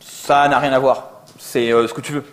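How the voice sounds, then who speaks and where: A man's voice: mid-range voice, little pitch movement, normal speed. A man speaking casually and with self-deprecating slang as a conference speaker answering audience questions. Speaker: conference speaker, software developer. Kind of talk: informal conference Q&A.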